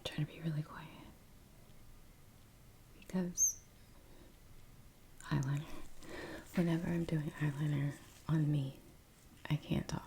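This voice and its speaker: A woman speaking very softly and breathily, often near a whisper, in halting, casual ASMR musing while she works. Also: low-pitched, steady tone, a little hiss or hum. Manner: hushed, halting, unhurried. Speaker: ASMR artist hosting a painting session.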